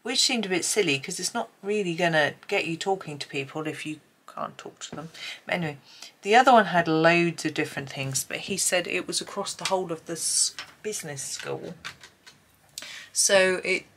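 Low, creaky-voiced British woman musing. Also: normal speed, fairly bright tone, a little hiss or hum. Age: middle-aged. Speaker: colouring tutorial host. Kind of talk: casual rambling chat while colouring. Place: indoors at a desk.

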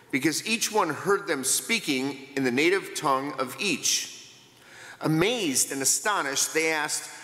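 Middle-aged male lector, slow lively reading, bright tone, roomy church reverb. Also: a little high. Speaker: scripture reader. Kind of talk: scripture reading. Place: church sanctuary, at a lectern. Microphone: lectern mic.

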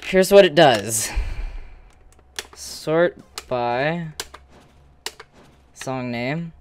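A person giving casual gameplay commentary in a halting way with false starts, in a room at home. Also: mid-range voice, expressive, slight background noise. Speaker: streamer demonstrating a game.

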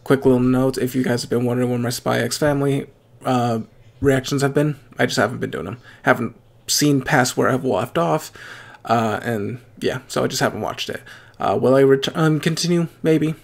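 A man's voice: mid-range voice, normal speed, vocal fry, hardly any pauses. A young man hosting an anime reaction video, chatting casually from a small room at home with plenty of fillers and restarts. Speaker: anime reaction channel host.

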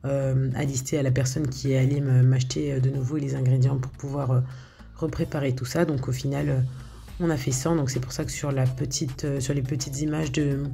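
Hesitant woman with a gravelly, mellow voice. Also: rumbling low, level tone, a little fast, faint music behind. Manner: hesitant, many filler sounds and restarts. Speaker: home cook showing her recipes. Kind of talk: casual voice-over for a cooking video.

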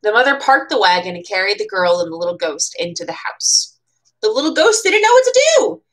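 Animated young American woman reading a storybook. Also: on the high side, fairly quick. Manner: expressive storytelling, chatty casual asides. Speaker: bookseller reading at a storytime event. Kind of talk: children's picture book read-aloud with casual asides. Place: small room at home.

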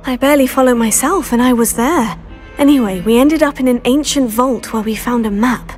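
A woman's voice: on the high side, a little fast, darkish tone, faint music behind, natural voice. A woman playing a plucky, eager teenage wizard student in a video game, polite and self-assured. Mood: confiding. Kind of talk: video game cutscene acting.

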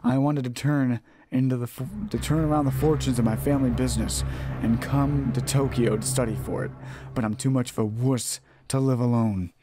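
A man's voice: mid-range voice, steady tone, normal speed. A man narrating a horror visual novel as a let's play host, reading aloud and sometimes stumbling and rereading phrases. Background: game music.

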